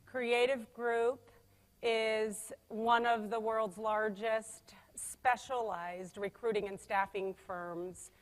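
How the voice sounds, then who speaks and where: Energetic woman presenter in a reverberant hall. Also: fairly high pitch, slow pace. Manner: energetic, emphatic, loud, with frequent restarts. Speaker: conference speaker. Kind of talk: conference keynote presentation. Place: conference room.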